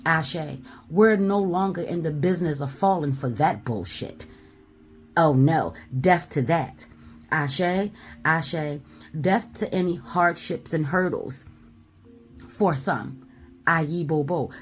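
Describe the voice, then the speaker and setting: Loud, blunt low-voiced woman. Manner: emphatic, repeats "ashe" as affirmation; forceful, blunt, swears. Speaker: tarot reader. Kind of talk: spiritual message to viewers.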